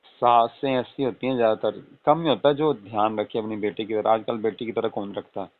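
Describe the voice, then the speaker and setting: Through a tinny phone line comes a man acting as an indulgent father in middle age. His voice sits fairly low, and he talks in a casual, coaxing way, repeating his reassurances. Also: steady tone, a little fast, natural voice. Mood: sympathetic. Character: soothing, indulgent father of a married daughter. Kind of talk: staged phone conversation in casual Hindi. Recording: tinny, narrow phone-call audio.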